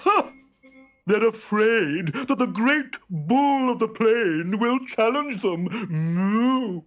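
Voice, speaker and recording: Slow, boastful, dim-witted bull. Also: male voice, high voice, expressive, fairly bright tone, faint music behind, pitched above the performer's own voice, heavily acted. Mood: scornful boasting. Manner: hesitant, blustering, drawn-out words with mooing hums. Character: boastful, dim-witted great bull, full of feeble excuses. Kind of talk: children's storybook character voice.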